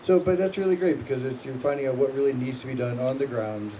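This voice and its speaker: A man moderating a panel discussion in an upbeat, chatty way, jumping in with quick remarks. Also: mid-range voice, warm tone, recorded from a distance, slight background noise. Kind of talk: casual panel hosting.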